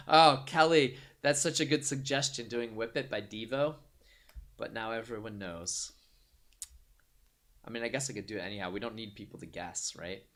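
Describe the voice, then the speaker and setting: A man hosting a live trivia stream from an office at a desk mic, chatting casually, giddy and quick to laugh. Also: on the low side, fairly bright tone. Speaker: trivia show host. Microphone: desk microphone.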